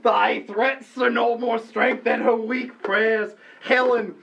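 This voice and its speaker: A woman playing a scornful, hot-headed young male lover with a wobbly put-on Scottish accent, loud and melodramatic. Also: on the high side, fairly even intonation, takes it slowly, creaky, muffled recording, a little hiss or hum. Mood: defiant.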